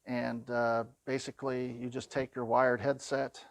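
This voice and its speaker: A man's voice: mid-range voice, flat delivery, a little slow, vocal fry, noisy background. A man giving an informal product walkthrough in a plain-spoken, conversational way, explaining things as a demonstrator.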